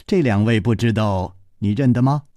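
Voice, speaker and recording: A man's voice: on the low side, normal speed, dark tone, no echo, studio quality, lightly acted. A man voicing a suave, amused martial hero who drawls and teases as he speaks. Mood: amused, teasing.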